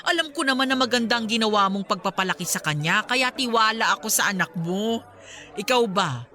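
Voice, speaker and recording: A woman playing a warm, easily astonished middle-aged mother chatting with her best friend in a radio drama. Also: mid-range voice, lively intonation, brisk. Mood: relieved, sincere. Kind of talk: conversational radio drama acting.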